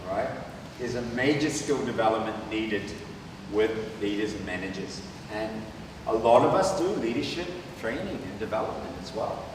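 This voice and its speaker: A man's voice: mid-range voice, noisy background. A man with a New Zealand accent giving a conference presentation, chatty and peppering his points with "right?". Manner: conversational, rhetorical tags like "right?". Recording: echoey hall from far off.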